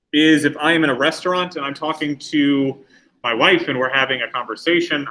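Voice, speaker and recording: A middle-aged man gives a classroom lecture in a loose, conversational way, often turning to ask the students questions. His voice is bright and forceful, pitched a little high for a man. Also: rough recording. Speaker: teacher.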